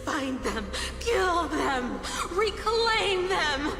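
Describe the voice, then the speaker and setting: Casting: broken, hysterical woman in a video game; extremely slow, halting, giggling and sobbing, reverberant, music underneath. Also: very high voice, very animated, heavily acted. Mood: broken, deranged. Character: crazed, terrified female memo snatcher, broken and laughing. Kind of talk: dramatic video game acting. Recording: echoey hall reverb, music behind.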